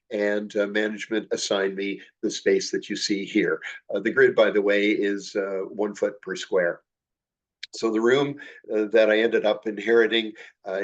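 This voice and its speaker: A man's voice: lowish voice, muffled recording. An older man giving a slide presentation, with a congested, nasal voice from a cold, talking hesitantly with many fillers and self-corrections.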